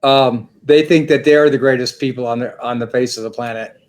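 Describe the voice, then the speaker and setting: A man's voice: medium pitch, fairly even intonation, brisk, darkish tone. A man speaking as a guest on a talk show, rambling with many repeated words and restarts. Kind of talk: casual interview chat.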